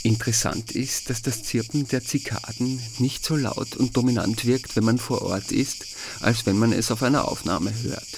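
A man from Austria reads a scripted first-person audio diary as narrator, in a measured, dryly wry way. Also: mid-range voice, steady tone, creaky, noisy background.

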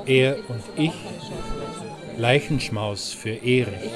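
A man announcing the closing credits of an audio play in a scripted, measured delivery. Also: mid-range voice. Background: loud accordion music.

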